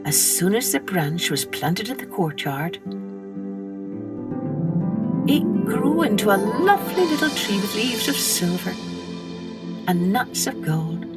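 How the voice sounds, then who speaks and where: A woman narrating a scripted children's story in an expressive storytelling manner. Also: medium pitch, slow pace, long pauses, over loud music. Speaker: storytelling podcast host and narrator.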